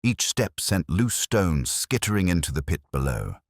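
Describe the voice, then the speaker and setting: A man narrating a scripted mystery story as an audiobook, in a measured, literary and solemn manner. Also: deep voice, very animated, breathy edge, no echo, clean studio sound.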